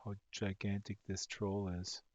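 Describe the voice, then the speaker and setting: A man with a hoarse voice giving casual, excitable live-stream commentary, rambling and gushing with amazement. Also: fairly low pitch, a little slow, no room sound. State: hoarse from talking all day. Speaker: live streamer, virtual world tour guide. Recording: dull lo-fi stream audio with music underneath. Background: music playing.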